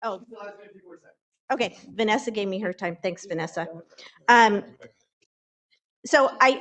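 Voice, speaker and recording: Exasperated woman at a public meeting. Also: mid-range voice. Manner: emphatic, exasperated. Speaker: public commenter.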